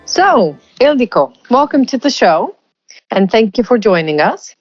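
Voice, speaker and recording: A woman hosting an interview podcast, reading a scripted intro and then asking measured questions. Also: fairly high pitch, sing-song, lo-fi sound, faint music behind. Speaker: podcast host.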